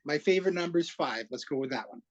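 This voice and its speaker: A man's voice: fairly high pitch, lively intonation, quick pace, dull audio. A middle-aged man of Egyptian roots, a comedian guest telling wry stories in a casual podcast chat. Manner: easygoing, wry storytelling.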